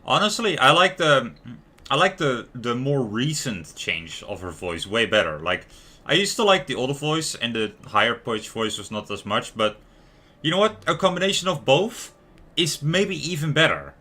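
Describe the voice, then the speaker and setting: A man's voice: mid-range voice, big pitch swings, moderate pace, bright, forceful tone. A man giving casual live gameplay commentary as a streamer, rambling and stumbling over words. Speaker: game streamer.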